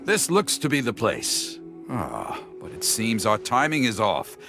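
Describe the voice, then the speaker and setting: An actor playing an excitable, flamboyant storyteller in a video game, theatrical, grandiose and effusive in manner. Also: male voice, medium pitch, unhurried, over music. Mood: chatty, eager. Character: excitable flamboyant male storyteller gathering material. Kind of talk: English video game voice acting.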